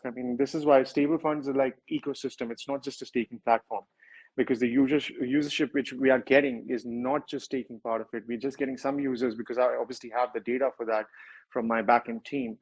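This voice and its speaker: A man's voice: mid-range voice, steady tone, a little fast, darkish tone, rough recording. A man with a strong Indian accent, a project founder answering questions in a livestreamed interview, talking at length and often correcting himself. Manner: rambling, self-correcting, with many filler words.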